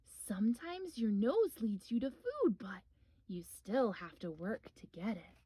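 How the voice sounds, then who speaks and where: Storybook granny bear played by a woman: patient, kindly, encouraging, slow delivery, dry sound. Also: a little high, big pitch swings, breathy edge, no room sound, pitched below the performer's own voice, full character voice. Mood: patient, teaching. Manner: gentle, encouraging, unhurried. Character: kindly, patient grandmother bear who teaches gently. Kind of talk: children's storybook read-aloud.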